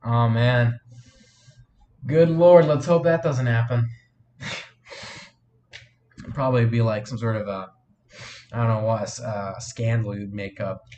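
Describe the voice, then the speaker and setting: A man's voice: mid-range voice, steady tone, takes it slowly, pauses often, warm tone, lo-fi sound, slight background noise. A sniffly man hosting a casual live stream, rambling with lots of fillers and restarts as he answers viewer chat. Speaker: live streamer and fan film maker.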